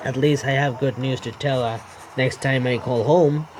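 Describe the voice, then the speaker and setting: A man's voice: mid-range voice, fairly even intonation, moderate pace, slight background noise, poor audio. A man reading a visual novel's narration aloud for a let's play, often stumbling over the words.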